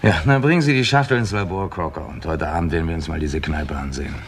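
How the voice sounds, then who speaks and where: An actor playing a composed, authoritative chief inspector with a measured, drily ironic manner in a 1960s radio crime play. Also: male voice, low-pitched, a little hiss or hum. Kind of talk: 1960s German radio crime drama acting.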